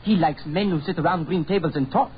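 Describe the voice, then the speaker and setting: An actor playing a blunt, mocking French financier with a French accent and courtly, florid speech in a 1940s radio drama. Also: male voice, a little high, a little fast, close to an everyday voice. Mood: sardonic, argumentative. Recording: muffled, noisy 1940s radio recording.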